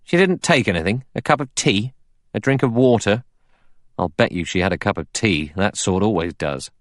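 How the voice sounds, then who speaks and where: A man's voice: on the low side, animated, moderate pace, no echo, clean studio sound, natural voice. A man voicing a blunt, aggressive, suspicious detective in an audiobook, arguing in pushy, colloquial bursts. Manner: pushy, colloquial, emphatic argument. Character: blunt, aggressive middle-aged male detective, suspicious and cocksure.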